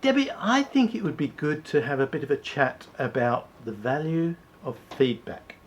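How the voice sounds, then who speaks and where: A man co-hosting a talk segment, speaking in a measured, deliberate way and slowing down to stress key points. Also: on the high side, expressive, lo-fi sound, slight background noise. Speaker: co-host. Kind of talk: scripted conversational presenting.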